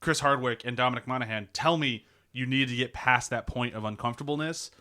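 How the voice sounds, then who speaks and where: A man's voice: mid-range voice, brisk, bright, forceful tone. A man hosting a casual movie podcast, rambling and laughing often. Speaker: podcast host. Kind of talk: casual chat.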